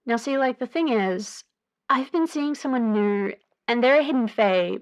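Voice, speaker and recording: A woman's voice: a little high, moderate pace, close to an everyday voice. A woman playing an anxious, earnest young caller to a radio advice show in an audio drama, chatty and hesitant. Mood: eager, nervous. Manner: hesitant, chatty, with filler words.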